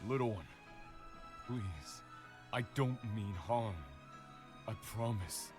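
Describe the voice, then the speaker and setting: Teasing, menacing fae captor, swooping intonation. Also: male voice, fairly low pitch, expressive, takes it slowly. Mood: coaxing. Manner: mocking politeness, teasing drawl. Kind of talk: visual novel game voice acting. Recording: game audio under loud music.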